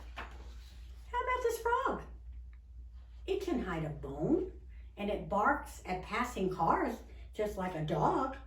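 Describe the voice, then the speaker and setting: A woman's voice: medium pitch, huge pitch swings, slow pace, pauses often, fairly bright tone, reverberant, far from the mic, full character voice. A woman voicing an eager, pushy man who runs an animal shelter, coaxing like a salesman in a storybook reading. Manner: coaxing, exclamatory sales patter.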